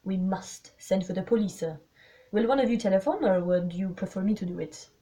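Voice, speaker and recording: Woman putting on an elderly French detective, grave and deliberate. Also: medium pitch, warm tone, close to an everyday voice. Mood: calm, firm. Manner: formal, deliberate, foreign phrasing. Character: elderly male French-speaking detective, polite, shrewd and grave.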